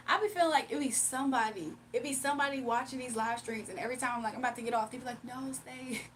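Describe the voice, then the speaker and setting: Young woman, live stream chat, slightly high voice, laughs a lot, faint background hiss. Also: quick pace, fairly bright tone. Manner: chatty, laughs often, reads out chat.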